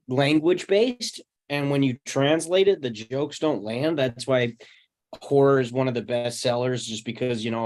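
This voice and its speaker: A man in his thirties talking casually in a group discussion, reflective and halting, with long pauses. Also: mid-range voice, a little mellow. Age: 30s. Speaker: table read participant.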